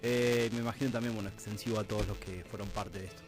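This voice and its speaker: A man's voice: on the low side, fairly even intonation, quick pace, faint music behind. A man with an Argentine Rioplatense accent hosting a conversational radio interview, reflective, building his points in short repeated phrases. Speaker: radio host. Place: radio studio.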